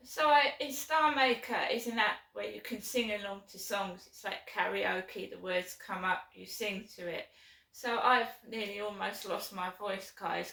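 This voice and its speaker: A woman with a sore throat vlogging alone from her flat, rambling and aggrieved, with many ums. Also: mid-range voice, vocal fry. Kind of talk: casual chat to camera. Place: small flat, indoors. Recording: echoey room, mic at a distance.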